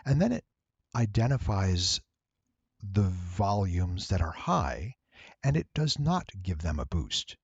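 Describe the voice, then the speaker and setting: Low, husky man explaining, lively pitch. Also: slow, dry recording, lo-fi sound. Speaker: voice actor giving an audio editing tutorial. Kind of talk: explanatory screen-recording narration.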